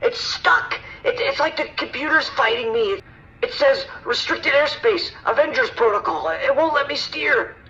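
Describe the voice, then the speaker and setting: An actor playing a panicked, helpless airline passenger in an audio drama, stammering and alarmed. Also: male voice, very high-pitched, normal speed, pushed and bright. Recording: tinny lo-fi cockpit radio call.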